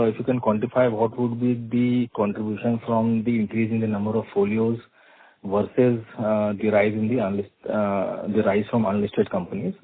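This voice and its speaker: A man with an Indian accent, a financial analyst asking questions by phone on an earnings call in a polite, halting way. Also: lowish voice, husky. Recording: muffled, narrow phone line.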